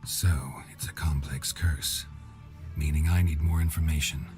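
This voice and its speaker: A gruff, laconic monster hunter with an extremely deep gravelly voice. He speaks in clipped, deadpan phrases over clear music, in the style of a fantasy game. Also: normal speed. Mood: businesslike. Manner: terse, deadpan. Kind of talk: fantasy video game acting.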